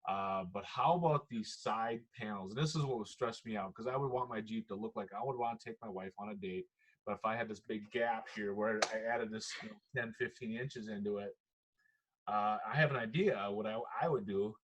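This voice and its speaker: A podcast host, chatting casually, laughing often and drifting off topic. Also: male voice, on the low side, fairly quick, a bit bright, poor audio. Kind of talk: casual chat.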